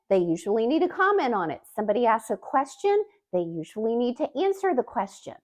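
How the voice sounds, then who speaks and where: A woman hosting her own channel as a communication coach, giving a scripted, expressive talking-to-camera explainer with emphatic rises in her delivery. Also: medium pitch. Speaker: YouTube host and communication coach.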